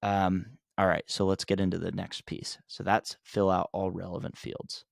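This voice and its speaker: A man with a deep, creaky voice explains things like an informal online instructor. His delivery is conversational and plain-spoken, with emphasis on practical advice. The recording is dry and close, with little room sound. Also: flat delivery, normal speed, no room sound. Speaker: host of marketing tutorial for contractors. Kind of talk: casual unscripted instructional talk.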